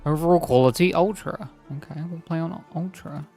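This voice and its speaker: A man's voice: a little high, normal speed, mellow, faint music behind. A man streaming a game, chatting casually and rambling to his viewers, his voice a little strained as if he is losing it. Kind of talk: casual live-stream chat while gaming.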